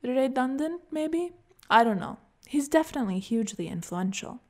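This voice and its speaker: A woman's voice: fairly high pitch, moderate pace, a little mellow, close to an everyday voice. A woman voicing a young female art student in a story, incurious and blunt, talking casually and a little hesitantly. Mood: unsure.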